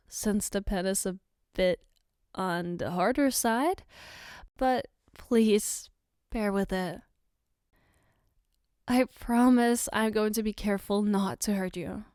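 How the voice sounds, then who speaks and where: A woman playing an affectionate, gently teasing girlfriend in a soft-spoken ASMR roleplay, tender and reassuring. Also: mid-range voice, clear, resonant tone, no room sound, clean studio sound, natural voice. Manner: tender, reassuring, playfully coaxing, unhurried. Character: affectionate, gently teasing girlfriend doing her partner's makeup.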